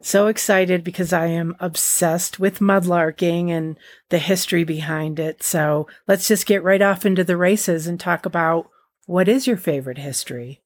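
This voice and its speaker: Dry-sounding, fairly low-voiced woman host. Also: moderate pace, no echo. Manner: excitable, stumbling over words. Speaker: podcast host. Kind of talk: interview hosting.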